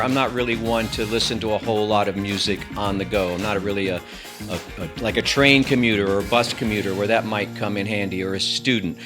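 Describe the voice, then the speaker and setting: A man hosting a casual gadget review, chatting to the viewer with self-deprecating asides. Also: medium pitch. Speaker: tech reviewer, host.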